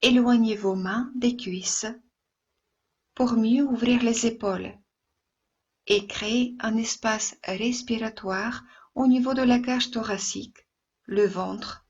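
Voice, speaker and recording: A slightly high-voiced woman leads a guided relaxation as a yoga instructor. She speaks very slowly and gently, leaving long pauses, with a soft, breathy tone. The recording is a little dull and muffled.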